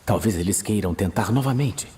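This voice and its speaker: A man playing a weary, earnest male archmage, speaking in a hushed, measured, urgent way in a fantasy audio drama. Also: lowish voice, animated, slightly rough. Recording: music bed behind voice.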